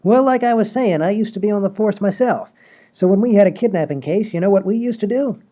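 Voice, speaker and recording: A man's voice: high voice, lightly acted. Man playing a rambling, folksy ex-cop handyman, muffled. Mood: casual, boastful. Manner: rambling, folksy, unhurried storytelling. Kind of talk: comedy radio play acting. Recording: dull lo-fi podcast audio.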